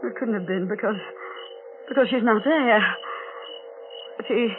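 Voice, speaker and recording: An actress in a 1940s radio horror play, playing a desperate, guilt-ridden woman killer with a halting, melodramatic delivery. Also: fairly high pitch, noisy background, lightly acted. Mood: panicked. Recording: tinny old radio recording, music behind.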